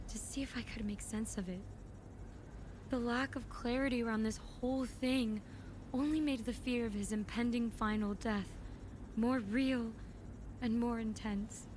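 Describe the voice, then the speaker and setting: A woman's voice: a little high, fairly even intonation, unhurried, a little mellow, a little hiss or hum, close to an everyday voice. A woman playing a desperate, hot-tempered young female pilot in a fan-made audio drama. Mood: anxious, subdued narrating. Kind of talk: fan audio drama acting, first-person narration and dialogue.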